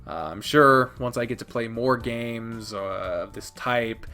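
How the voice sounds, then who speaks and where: A man giving a casual, unscripted video review as host, speaking emphatically and theatrically with dramatic pauses. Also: medium pitch, pushed and bright. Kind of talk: casual scripted-free game review commentary.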